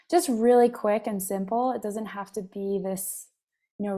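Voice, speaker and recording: Woman meditation host, scratchy from a cough, slow and even delivery, gentle meandering talk. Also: medium pitch. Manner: soft, meandering, many fillers. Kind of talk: casual spiritual talk.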